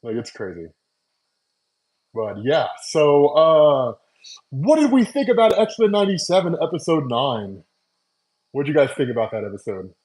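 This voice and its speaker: A man's voice: fairly high pitch, big pitch swings, measured pace, pauses often, a little mellow, poor audio. A man in his forties chatting casually with viewers on a live stream, talking loosely with lots of fillers and laughs, into wireless earbuds. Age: 40s. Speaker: comics channel host. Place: at home making coffee.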